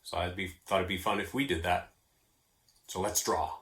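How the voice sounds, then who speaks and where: A man's voice: sits low, fairly even intonation, normal speed, over loud music. A man hosting a children's drawing lesson, casually talking through each step in an informal, playful way with simple explanations. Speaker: host of a children's drawing segment.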